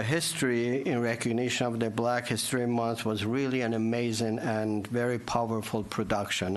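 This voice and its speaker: A councilman who serves as trail committee liaison, giving a measured, orderly report at a meeting. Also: medium pitch.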